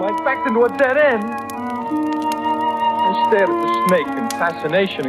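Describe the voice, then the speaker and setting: A man's voice: a little high, lightly acted. An actor playing a guilt-ridden young seaman narrating his own terror in an intense, haunted old radio drama style. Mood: rising terror. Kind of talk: 1940s-50s radio drama acting, first-person suspense narration. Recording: vintage radio recording with loud music.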